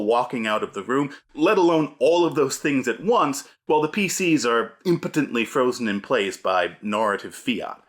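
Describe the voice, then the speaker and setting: A man's voice: mid-range voice, fairly bright tone. A man hosting a scripted tabletop gamemastering lesson, speaking in an emphatic, theatrical, punchy way. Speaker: host, game master giving advice.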